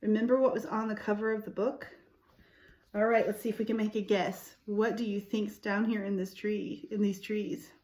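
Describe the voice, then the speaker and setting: A woman reading a picture book aloud to young children, expressively, pausing to explain and ask them questions. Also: mid-range voice, level tone, a little mellow. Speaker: storytime host reading to children.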